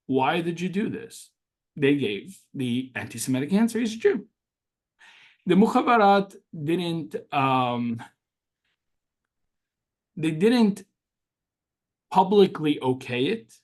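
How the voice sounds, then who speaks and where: A man's voice: medium pitch, a little mellow. A young man born in Syria whose first language is Arabic, earnestly recounting his childhood as an interview guest and often restarting his sentences. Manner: earnest, with frequent restarts and fillers. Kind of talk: interview storytelling.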